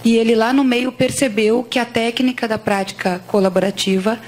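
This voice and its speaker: A woman's voice: fairly high pitch, steady tone, normal speed. Brazilian woman asking a formal question. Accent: Brazilian Portuguese. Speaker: audience member asking a question. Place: large auditorium.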